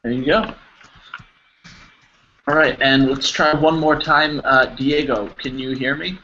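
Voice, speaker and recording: A man's voice: mid-range voice, fairly even intonation, measured pace, bright, forceful tone. An American man teaching an online English class in an encouraging, informal way. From United States. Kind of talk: casual group class instruction. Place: online video call. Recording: dull, noisy video call audio.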